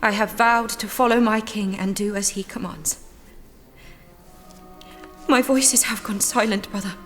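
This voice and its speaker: A woman's voice: mid-range voice, measured pace, faint music behind, natural voice. An actress playing a fervent, determined young female holy warrior in an audio drama, with an impassioned delivery. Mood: subdued, troubled.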